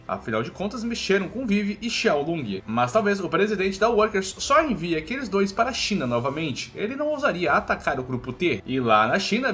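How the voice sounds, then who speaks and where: A man's voice: high voice, a bit bright. A man with a Brazilian accent narrating a scripted story recap in informal, colloquial storyteller phrasing.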